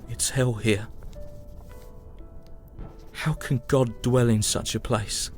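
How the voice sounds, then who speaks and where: An actor playing a weary, grieving young British soldier, narrating his war journal in a solemn, measured, mournful way. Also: medium pitch, fairly even intonation, lightly acted. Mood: anguished. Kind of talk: dramatic first-person journal narration. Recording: music behind the voice. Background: music.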